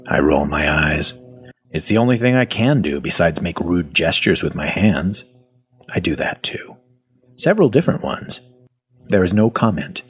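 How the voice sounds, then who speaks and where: An actor playing a weary, sardonic captive who narrates his own horror story in wry, deadpan, clipped phrases with a husky voice. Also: deep voice, exaggerated voice. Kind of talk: first-person audio fiction narration.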